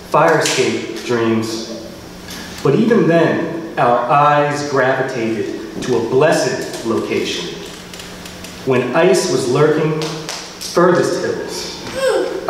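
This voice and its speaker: An American man reading his poetry at a live event, with measured, deliberate phrasing. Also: mid-range voice. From Philadelphia, United States. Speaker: poet reading own work. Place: live reading venue, indoors. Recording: very echoey hall, distant mic, faint noise.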